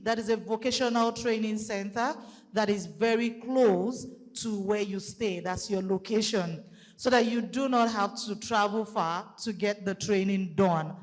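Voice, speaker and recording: A Nigerian woman speaking at a conference, loud-voiced and methodical as she explains programs with light fillers. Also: mid-range voice. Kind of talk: public presentation. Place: conference hall. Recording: long hall reverb.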